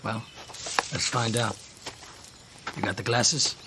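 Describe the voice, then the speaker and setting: Wry, sceptical infantry soldier. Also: male voice, on the low side, steady tone, slow pace, noisy background. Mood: sceptical banter. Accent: American. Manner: wry, colloquial. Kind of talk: radio drama acting.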